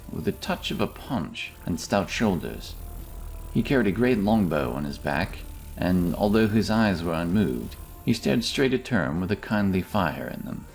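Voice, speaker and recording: Deep, gravelly male fantasy narrator. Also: a little mellow. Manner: measured, dramatic storytelling. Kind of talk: scripted fantasy story narration.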